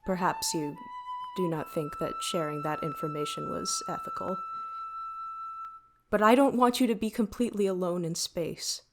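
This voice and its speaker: A woman playing a calm, earnest starship AI in an audio drama, with measured, formal and deliberate phrasing. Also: lowish voice, music in the background, natural voice. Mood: gently advising. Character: calm, earnest female starship AI caring for passengers.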